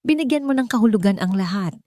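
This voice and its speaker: A Filipino woman playing an earnest, devoted husband in a narrated story, speaking in a measured way. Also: mid-range voice, animated, warm tone, no echo, studio quality, voice pushed up, lightly acted. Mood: tender, loving. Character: tender, earnest husband and new father, formal, devoted.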